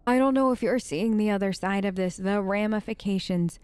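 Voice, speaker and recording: A woman hosting a casual role-play game video, expressive and chatty as she talks to the characters. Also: mid-range voice, normal speed, clear, resonant tone, no echo. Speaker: gaming video host. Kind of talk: in-character playthrough commentary, casual.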